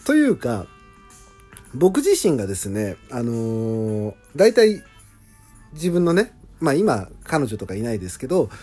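Guy doing a casual solo talk, really animated pitch, mellow voice, polite but keen. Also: measured pace, slightly rough, soft background music. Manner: enthusiastic, polite, emphatic. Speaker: writer hosting behind-the-scenes talk on an audio drama.

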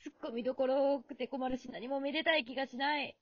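A woman's voice: high voice, fairly even intonation, fast, no room sound, muffled recording, natural voice. A woman playing a confused, blunt girl lost in the afterlife, giving incredulous retorts in an amateur radio drama. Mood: confused, exasperated.

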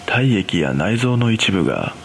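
Male horror narrator acting out an uneasy cleaner recounting something eerie; lowish pitch, expressive swings, noisy recording. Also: unhurried, vocal fry, full character voice. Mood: grave, uneasy. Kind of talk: scripted first-person ghost story narration.

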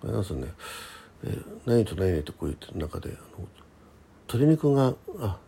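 A man, probably middle-aged, chatting casually by himself as a radio host, halting and thinking aloud in short polite phrases. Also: low-pitched, very animated, creaky, mellow, breathy edge. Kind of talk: casual solo chat about daily life.

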